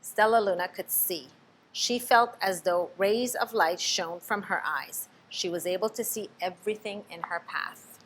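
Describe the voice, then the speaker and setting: A woman reading a storybook aloud to children in an expressive, teacherly way, pausing to ask them questions. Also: mid-range voice, slight background noise, low-quality recording. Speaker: storybook reader.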